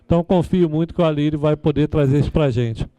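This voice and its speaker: A man's voice: fairly high pitch, fast talker, background noise. A Brazilian man giving an informal endorsement speech, repeating himself and often seeking agreement with tag questions. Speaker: former police administration official speaking at a candidate forum.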